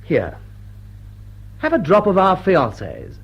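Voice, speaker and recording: Languid society gent for radio drama; wry, husky, muffled lo-fi recording. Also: male voice, high voice, wildly swooping pitch, slow, full character voice. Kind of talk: stage-comedy radio drama acting.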